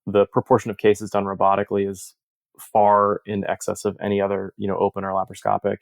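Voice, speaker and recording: A man's voice: fairly low pitch, creaky, no echo. A man giving a conversational, reflective expert explanation in an interview. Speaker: surgeon-researcher interviewed as study author.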